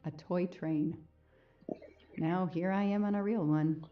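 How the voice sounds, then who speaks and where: A woman's voice: lowish voice, fairly even intonation, slow, muffled recording. A woman playing a compassionate detective thinking aloud in a video game's inner monologue. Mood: reflective.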